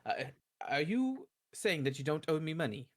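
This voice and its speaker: A gruff male doctor presses a man about unpaid money, speaking with a put-on accent, as a man plays him. His delivery is halting and low-key. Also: big pitch swings. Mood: threatening.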